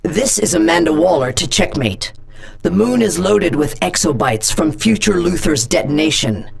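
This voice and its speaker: Low, gravelly-voiced tough female spymaster for a game; blunt, clipped, no warmth. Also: animated, moderate pace. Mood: commanding. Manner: commanding, blunt, intimidating. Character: stern female government agency director. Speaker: game character. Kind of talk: game voice lines.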